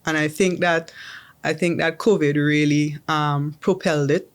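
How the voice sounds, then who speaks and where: A woman's voice: sits low, steady tone, a little slow, clean tone. A young adult woman from the Caribbean, chatting as a guest in an interview, often restarting her sentences with um. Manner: chatty, restarts with um and repeated words. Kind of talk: casual interview.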